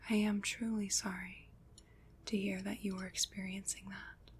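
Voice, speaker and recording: A woman playing a gentle, soothing witch in an ASMR roleplay, whispering softly and breathily with tender, formal, old-fashioned phrasing. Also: mid-range voice, flat delivery, moderate pace, slight background noise, close to an everyday voice. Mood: soothing, concerned. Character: gentle, soothing female witch, caring and slightly mysterious.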